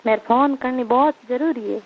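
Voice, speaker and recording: A woman's voice: fairly high pitch, a little fast. A woman with a Marwari accent chatting casually and intimately as a caller on a personal phone call. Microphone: telephone line. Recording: thin, band-limited phone call recording.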